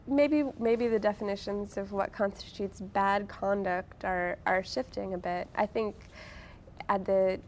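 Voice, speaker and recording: A woman with noticeable vocal fry speaks as an interview guest at a medium pitch. Her delivery is informal and conversational, full of restarts, with faint noise and rumble behind her. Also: steady tone, unhurried. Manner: informal, frequent "like" and self-interruptions. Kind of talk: casual conversational interview answers.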